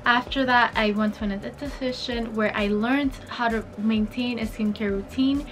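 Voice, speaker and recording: A woman's voice: fairly high pitch, moderate pace, faint music behind. Upbeat young Dominican woman vlogging. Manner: animated, talks with her hands, upbeat. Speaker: fashion and skincare vlogger. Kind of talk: casual chatty product review. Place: bright bedroom.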